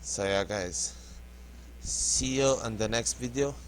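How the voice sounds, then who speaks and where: A man from the Philippines with a Filipino accent, English not his first language, giving halting, hesitant commentary over a game tutorial. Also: mid-range voice, a little hiss or hum, low-quality recording. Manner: hesitant, halting, restarts words often. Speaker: gaming tutorial host. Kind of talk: casual gameplay commentary.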